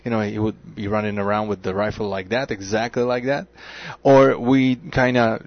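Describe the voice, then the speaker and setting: A man from the Czech Republic with a Czech accent, chatting as a guest on a radio show, halting and full of fillers. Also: lowish voice, level tone, dull audio. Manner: halting, filler-heavy, chuckling. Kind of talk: casual radio chat.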